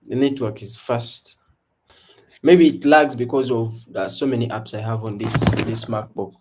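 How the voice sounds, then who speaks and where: A man's voice: medium pitch, normal speed, noisy background. A young man from Uganda with a Ugandan accent, hosting a casual live trading stream and chatting loosely to viewers from an indoor room. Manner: relaxed, repetitive hype calls to viewers. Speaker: livestream trading host. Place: indoor room before a branded backdrop.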